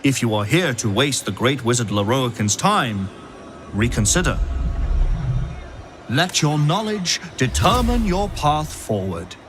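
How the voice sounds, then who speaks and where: An actor playing a pompous, fussy, grandiose theatrical figure in a fantasy game, drawing out the words. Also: male voice, medium pitch, very animated, music in the background. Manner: grandiose, drawn-out delivery.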